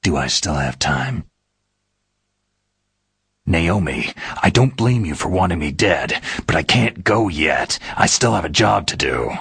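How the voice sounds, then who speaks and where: A battle-weary male operative from a video game, stubborn and suspicious. His voice is low and extremely husky, growled out in terse, intense phrases that swing widely in pitch. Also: very animated, measured pace, a bit bright, no room sound, clean studio sound, exaggerated voice. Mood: grim, resigned. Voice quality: gravelly, raspy. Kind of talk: dramatic video game voice acting.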